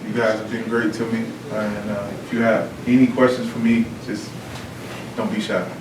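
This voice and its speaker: A man from the DC and Maryland area introducing himself as offensive line coach in a lowish, fairly flat voice. He keeps it short and lightly joking. He sounds far from the mic in an echoey, noisy room. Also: normal speed. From Washington DC and Maryland area. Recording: roomy, distant, noisy room recording.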